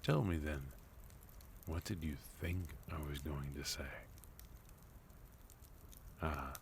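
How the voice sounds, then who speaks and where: Man playing a very deep-voiced, unhurried gentleman villain. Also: a little hiss or hum, heavily acted. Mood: smooth, teasing. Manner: soft-spoken, unhurried, gently teasing, with breathy murmurs. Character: sophisticated courteous villain leader, calm and teasing. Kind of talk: ASMR roleplay, intimate scripted monologue to listener.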